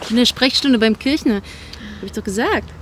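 Young female student, played by an actress, higher voice, guarded and earnest, natural audio drama, faint ambience. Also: moderate pace, fairly bright tone, a little hiss or hum, close to an everyday voice. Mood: defensive. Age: young adult.

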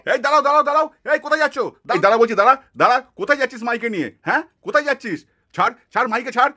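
A man acts out an alarmed, protective village man for a puppet show. The voice is very high for a man and forceful, with fast, emphatic delivery. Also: expressive, exaggerated voice. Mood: angry. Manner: urgent, emphatic. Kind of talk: puppet cartoon acting.

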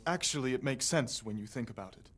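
An actor playing a composed council member who calmly reasons through politics. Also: male voice, medium pitch, quick pace, husky. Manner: calm, analytical.

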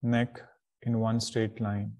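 A man leading a guided meditation as a spiritual teacher, giving calm, deliberate instructions in short phrases with pauses. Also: lowish voice.

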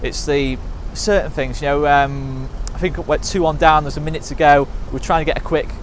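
A young man speaks bluntly and frankly to camera, reviewing a football match the way a frustrated fan would. His voice sits a little high for a man. He is recorded outdoors beside a stadium car park, with faint passing traffic behind him. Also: steady tone, fairly quick. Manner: blunt, frank, fan's frustrated verdicts with many fillers. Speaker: football fan channel presenter. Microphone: camera mic, chest-up framing. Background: faint passing cars.

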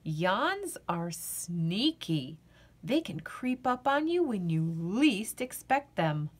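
Slow, playful woman reading a storybook. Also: mid-range voice. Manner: playful and expressive, acting out yawns. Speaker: storyteller reading a picture book aloud. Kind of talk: children's read-aloud.

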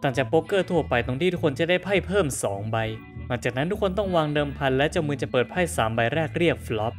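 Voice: medium pitch, animated, quick pace, faint music behind, natural voice. A voice actor playing a smug, know-it-all teenage son in a fan-dubbed cartoon, lecturing and mocking. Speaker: son at the poker table. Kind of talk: Thai fan dub of a cartoon.

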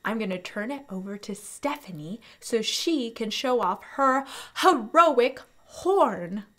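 A young woman hosting a children's storytime, speaking with animated, exaggerated enthusiasm and deliberate enunciation as she teaches. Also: fairly high pitch. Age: young adult. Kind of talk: children's educational presentation.

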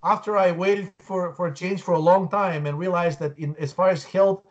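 A man's voice: high voice, fairly even intonation, moderate pace. Earnest man from Bulgaria on a call. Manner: earnest, explanatory, many restarts. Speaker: guest researcher. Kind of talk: interview over video call. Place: home room, indoors. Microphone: video call. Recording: dull lo-fi call audio.

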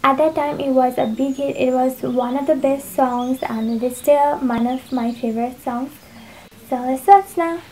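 A young woman from Nepal hosting a casual reaction vlog, soft-spoken and laughing often. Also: high-pitched, steady tone, moderate pace, slight background noise, rough recording. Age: young adult. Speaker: YouTube reaction host. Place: indoor room with red backdrop and fairy lights.

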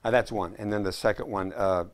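A man in his sixties, a scriptwriter chatting as a guest in a relaxed interview, mixing jokes with earnest stories. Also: fairly low pitch, fairly quick, slight background noise. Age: 60s. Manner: joking, earnest storyteller. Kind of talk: casual interview chat. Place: living room set, indoors.

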